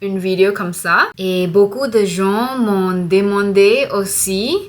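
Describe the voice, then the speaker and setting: A young woman from Singapore vlogging in careful, slightly halting French that is not her first language. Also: medium pitch. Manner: slow, careful learner's French with pauses. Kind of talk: casual vlog to camera.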